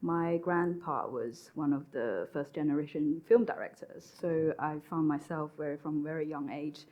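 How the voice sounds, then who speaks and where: A woman from China, an interior designer speaking thoughtfully on a panel, with some halting restarts. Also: deep voice, fairly even intonation, dull audio. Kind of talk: panel discussion. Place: stage at a design event.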